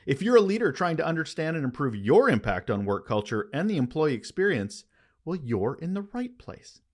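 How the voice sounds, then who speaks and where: A man hosting a podcast, delivering a conversational scripted monologue with emphatic, expressive phrasing and dramatic pauses. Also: a little high. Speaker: podcast host.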